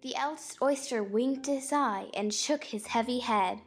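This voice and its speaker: A woman's voice: on the high side, measured pace, breathy edge, faint music behind. A woman playing a pompous, comic twin boy reciting in a dramatized storybook audiobook.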